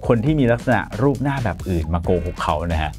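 Male presenter, 30s, animated studio explainer, lapel mic, light music bed. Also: on the low side, fast. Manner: polite, chatty, frequent soft particles. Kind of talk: explainer talk to camera. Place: bright studio in front of a plain grey backdrop.

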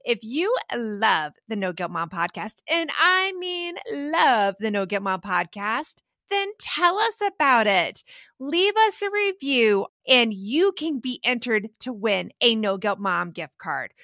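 A woman co-host reads a promo in an upbeat, persuasive way. Her voice is bright and a little high. The sound is close and dry, with no room around it. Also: big pitch swings, takes it slowly, no room sound. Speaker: podcast co-host.

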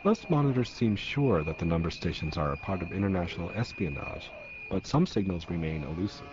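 A man's voice: sits low, lively intonation, a little fast. A man narrating a radio documentary from a script, in a muffled, noisy recording.

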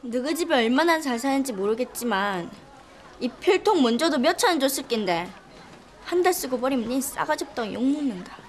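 A woman's voice: sits high, animated, fairly quick, a little hiss or hum. An actress playing a feisty, outspoken country schoolgirl with a Gyeongsang Korean accent in a children's drama. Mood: scolding. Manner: blunt, scolding, defiant.

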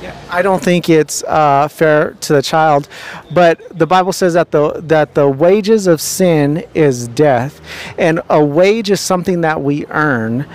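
A man's voice: a little high, measured pace. A young man with a handheld mic interviewing people outdoors on a city street, talking earnestly and preachily with many fillers and restarts.

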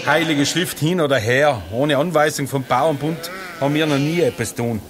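An actor playing a dismissive, blunt shepherd farmer with a Tyrolean Austrian accent in a satirical radio play. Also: male voice, fairly high pitch, normal speed, fairly bright tone, lightly acted. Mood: stubborn. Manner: dry, blunt, colloquial.

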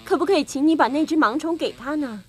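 A woman playing a gentle, polite mother in a cartoon, coaxing and pleading. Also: sits high, quick pace, lightly acted. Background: music.

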